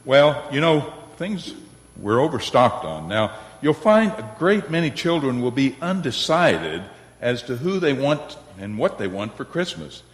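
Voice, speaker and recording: A man's voice: mid-range voice, sing-song, unhurried. A man playing an effusive, officious department store manager in an old-time radio play. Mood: brisk, officious. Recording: echoey reverberant hall.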